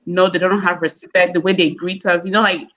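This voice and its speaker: A young woman with a mixed Nigerian and American accent rambles through her thoughts, full of fillers and false starts. Her voice is bright and forward, but the recording sounds dull and lo-fi. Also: on the low side, flat delivery, brisk. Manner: rambling, many fillers and restarts. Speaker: panel guest. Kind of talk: casual discussion.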